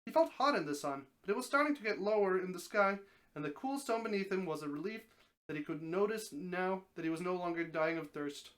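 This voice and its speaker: A streamer reading a story aloud as a dramatic narration, expressive, now and then stumbling over a word. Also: mid-range voice, fairly quick.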